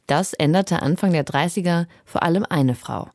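A woman's voice: on the low side, normal speed, darkish tone, dry recording, clean studio sound. A woman reading a scripted radio feature as a reporter.

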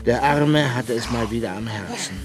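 An actor playing an elderly fairy-tale collector, narrating his memories in a measured way for a children's radio play. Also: mid-range voice, music in the background, natural voice. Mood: wry reminiscing. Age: older.

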